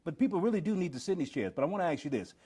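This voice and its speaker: A man's voice: a little high, sing-song, rapid-fire, dark tone, breathy edge. A man hosting a podcast interview, encouraging his guest and asking long, wordy questions. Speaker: podcast host.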